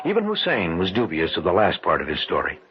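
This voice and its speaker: An actor playing a practical, skeptical adventurer, crisp and matter-of-fact in an old-time radio drama. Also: male voice, low-pitched, huge pitch swings, quick pace. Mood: sober narration. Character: practical, skeptical American adventurer narrating his expedition. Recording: muffled, hissy old radio recording.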